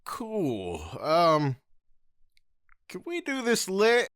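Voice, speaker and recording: A man playing a cocky young masked rider hero who is casual, sarcastic and quick to swear. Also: high-pitched, wildly swooping pitch, fairly bright tone, smooth, heavily acted. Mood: bewildered. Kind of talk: fan audio drama.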